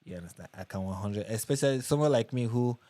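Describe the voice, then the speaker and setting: A man's voice: on the low side, expressive, fast talker, dark tone, no echo. A young Nigerian man hosting a casual livestream, reading viewer comments and chatting with restarts and repeats. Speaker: livestream host.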